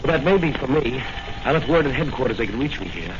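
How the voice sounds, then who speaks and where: An actor playing a confident masked crime fighter in a 1940s radio serial, with brisk, commanding, melodramatic delivery. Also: male voice, mid-range voice, expressive, lightly acted. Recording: noisy, muffled old radio serial recording.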